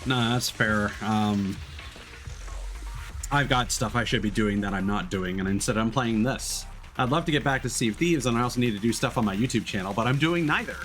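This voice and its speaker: A man's voice: on the low side, brisk, fairly bright tone. A man giving casual live-stream gameplay commentary, explaining things conversationally and thinking aloud. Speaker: video game streamer. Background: game music.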